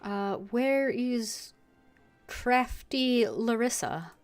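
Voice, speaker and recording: Woman gamer streaming, wry and dry; slow talker, faint music behind. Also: on the high side, animated. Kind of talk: casual gameplay commentary.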